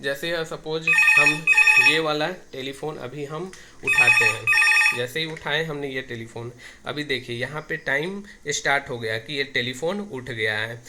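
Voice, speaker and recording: A man's voice: medium pitch, unhurried. A man explains a technical setup step by step, often repeating his phrases as he demonstrates. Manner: repetitive, restarts phrases as he demonstrates. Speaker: technician giving a tutorial. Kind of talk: hands-on tech tutorial, explaining step by step. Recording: noisy amateur recording with clicks.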